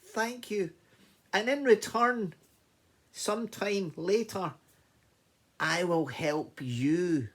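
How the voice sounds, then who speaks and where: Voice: medium pitch, drawn-out words, pauses often, husky, voice pushed up, full character voice. A storyteller voicing a tiny, polite female mouse, earnest and eager, in a small voice for a children's story. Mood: grateful.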